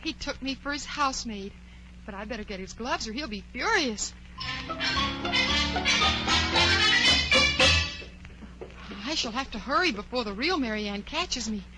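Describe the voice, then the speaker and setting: Prim chatty young girl, bright lively voice, faint hum behind, old-school storybook radio play. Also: fairly high pitch, brisk, rough recording. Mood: wistful, fretful. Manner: prim, chatty, thinking aloud. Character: Curious, polite, outspoken young girl lost in wonderland.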